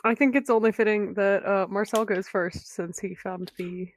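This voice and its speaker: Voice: mid-range voice, steady tone, normal speed. A tabletop role-playing player improvising a story in a casual chatty style.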